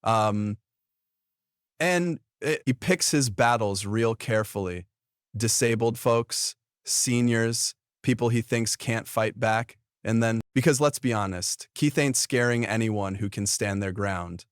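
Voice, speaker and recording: A man's voice: on the low side, slow, clear, resonant tone, no room sound, clean studio sound. A man hosting online gossip commentary in a blunt, mocking, taunting way.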